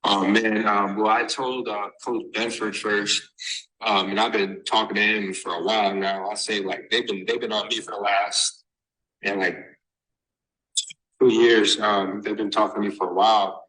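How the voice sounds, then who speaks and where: A young man from Massachusetts, raised in Texas, answering interview questions as a basketball recruit, halting with many fillers and restarts. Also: on the low side, level tone, bright, forceful tone, poor audio. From born in Massachusetts, raised in Texas. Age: young adult.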